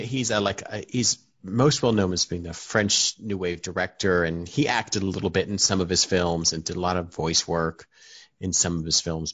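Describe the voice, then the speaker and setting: Deep husky man rambling casually. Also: fairly bright tone, no echo. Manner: rambling, hesitant, lots of fillers. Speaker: podcast co-host. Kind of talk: casual chat.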